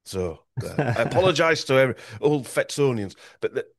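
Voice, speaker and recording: A man's voice: mid-range voice, animated, fairly quick, creaky, no room sound, studio quality. A man with a Yorkshire accent talking in a podcast conversation, rambling and restarting his sentences often. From Yorkshire, England. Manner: rambling with frequent restarts and stumbles.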